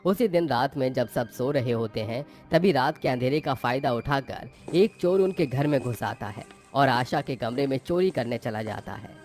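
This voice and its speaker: A man narrates a story from a script. His voice sits at a middling pitch, with music playing faintly behind it. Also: expressive, normal speed, few pauses, a little mellow.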